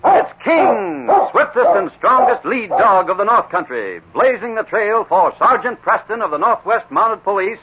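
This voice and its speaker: A man's voice: sits high, big pitch swings, moderate pace, few pauses, slight background noise. A man narrating a 1940s radio adventure serial in a dramatic, emphatic style on an old muffled recording.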